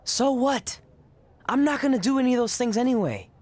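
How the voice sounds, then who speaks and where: A man's voice: very high voice, animated, fairly quick, darkish tone. A man playing a self-deprecating, sarcastic and awkward young aspiring wrestler in a video game cutscene. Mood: sulky. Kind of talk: scripted game cutscene acting.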